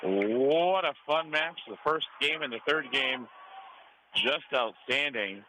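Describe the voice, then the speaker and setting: A man's voice: medium pitch, lively intonation, takes it slowly, pushed and bright. American sports announcer man over gym noise. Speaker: play-by-play radio announcer. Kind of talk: live sports commentary. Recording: clearly noisy gym ambience behind.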